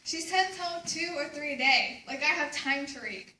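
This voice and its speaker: Woman playing an exasperated high-voiced mom. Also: normal speed, bright, forceful tone. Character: exasperated, sardonic, busy suburban mother. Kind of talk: readers theater comic stage acting. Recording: echoey room, distant mic, faint noise.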